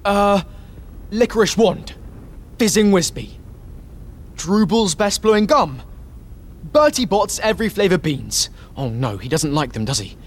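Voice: mid-range voice, lively intonation, unhurried, pauses often, voice pushed up. A narrator voicing an earnest, impatient teenage schoolboy who blurts things out urgently. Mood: pleading.

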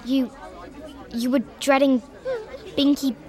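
A woman's voice: sits high, little pitch movement, takes it slowly, a little mellow, some chatter behind, pitched above the performer's own voice. Woman doing a prim, logical schoolgirl. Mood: reasoning. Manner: earnest, reasoning, a bit prim. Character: earnest, logical teenage schoolgirl, bossy know-it-all.

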